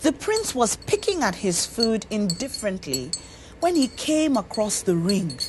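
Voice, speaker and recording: A woman reading a scripted story as an expressive narrator, deliberate and dramatic. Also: medium pitch, noisy background. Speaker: story reader. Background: music under the voice.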